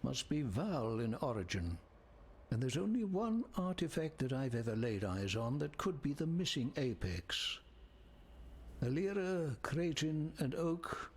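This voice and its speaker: An actor playing a grave, scholarly lore-keeper in a dark fantasy game, with a husky voice and dramatic, formal delivery. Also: male voice, medium pitch, full character voice. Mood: pondering. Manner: measured, dramatic, formal phrasing. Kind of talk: scripted game dialogue, storytelling exposition.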